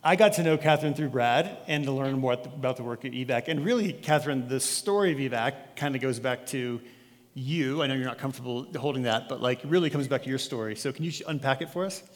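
A male pastor introduces his guests in a relaxed, friendly, conversational way. His voice sits in an ordinary middle range, carried by a long hall reverb. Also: mid-range voice, quick pace. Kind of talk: sermon introduction. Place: church sanctuary.

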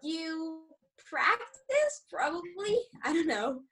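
A woman's voice: very high voice, big pitch swings, takes it slowly, fairly bright tone. A teenage girl whose first language is Greek, speaking as a guest in a hesitant way, full of "like". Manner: hesitant, lots of "like". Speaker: young guest. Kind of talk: casual chat. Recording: distant from the mic.

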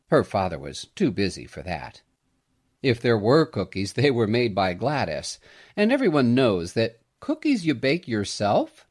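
A man reading a scripted Christmas story aloud in an expressive storytelling manner. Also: mid-range voice. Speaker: storyteller, podcast host.

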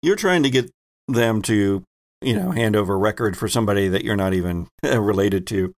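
A man acting as game master, narrating an improvised tabletop game in a halting, deliberate way. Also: fairly low pitch.

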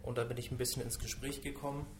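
A man of twenty-one, a trainee, calmly explaining in an interview. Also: medium pitch, quick pace, slight background noise. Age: 20s. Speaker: trainee in public administration. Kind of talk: interview answers.

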